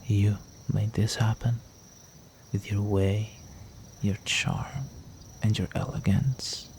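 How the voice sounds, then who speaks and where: Low, slow, seductive vampire played by a man. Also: flat delivery, darkish tone, slight background noise. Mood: intense, enamoured. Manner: courtly, flirtatious, teasing, breathy with drops into whisper. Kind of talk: intimate scripted roleplay audio drama.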